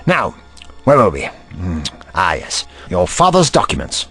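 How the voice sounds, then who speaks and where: Man to play theatrical alien doctor for audio drama: commanding, protective, hugely animated delivery, faint score behind. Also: sits low, takes it slowly, vocal fry, over music, heavily acted. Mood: thoughtful. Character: urgent, protective male alien doctor and investigator.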